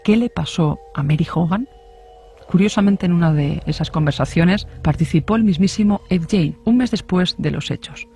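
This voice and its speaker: Higher-pitched male true crime narrator. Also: normal speed, warm tone, husky.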